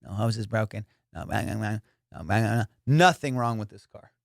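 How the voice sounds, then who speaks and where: Boastful, profane comedian, dry sound. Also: male voice, lowish voice, normal speed, gravelly, no echo. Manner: profane, boastful storytelling with excited bursts. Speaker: comedian, podcast host. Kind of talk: casual comedic monologue.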